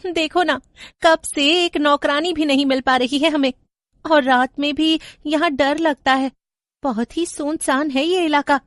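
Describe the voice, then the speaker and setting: Woman acting an excitable, very high-voiced young wife. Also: unhurried, dry recording, studio quality. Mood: uneasy. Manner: exclaiming, emotive. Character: excitable, anxious young daughter-in-law. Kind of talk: horror storybook character voice.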